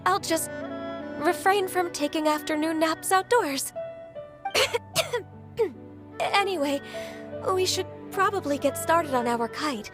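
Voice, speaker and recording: An actress voicing a shy, polite young woman with horns, who speaks softly and hesitantly. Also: very high-pitched, takes it slowly, long pauses, music in the background. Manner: soft-spoken, hesitant, polite.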